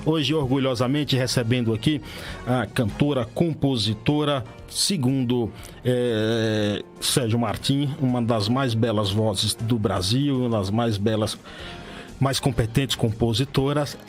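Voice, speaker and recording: A man hosting a radio interview show, giving quick, enthusiastic announcements and asking questions. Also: medium pitch, soft background music.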